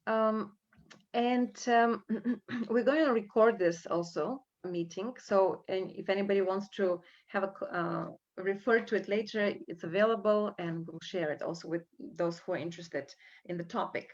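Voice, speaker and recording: A woman hosting a live coaching webinar over a video call, talking with many fillers and pauses. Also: medium pitch. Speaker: webinar host and coach trainer. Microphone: computer mic on video call. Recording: dull lo-fi call audio.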